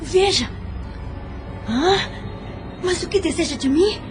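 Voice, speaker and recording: A woman's voice: extremely high pitch, expressive, takes it slowly, over music, exaggerated voice. A woman playing an anguished, guilt-ridden widow who sees the devil, in a melodramatic audio drama. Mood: terrified, defiant.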